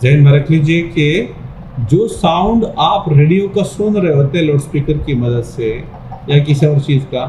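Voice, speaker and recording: Guy teaching physics, soft mellow voice, repeats stuff step by step, really echoey room w bit of noise. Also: fairly high pitch, lively intonation, unhurried. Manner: repetitive step-by-step explaining with check-in phrases. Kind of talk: informal explanatory lesson talking through a diagram.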